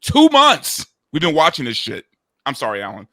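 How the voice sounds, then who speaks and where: A man with a slightly high, bright voice banters casually on a panel. His delivery is lively and swoops around, incredulous and mocking. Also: moderate pace, no room sound. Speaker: show panelist.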